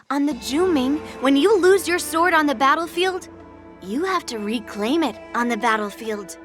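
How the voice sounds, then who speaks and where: High-pitched, cocky teenage swordswoman. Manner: brash, teasing, taunting. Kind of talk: video game voice acting. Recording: music under the voice.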